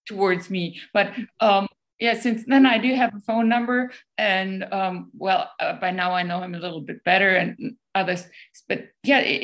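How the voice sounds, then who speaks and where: A woman speaking English with a German accent in a relaxed, unscripted talk. She pauses often and fills the gaps with ums, restarting her sentences as she searches for words. Her pitch sits in an ordinary middle range for a woman. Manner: hesitant, many ums and restarts. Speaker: guest speaker. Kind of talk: casual talk answering questions.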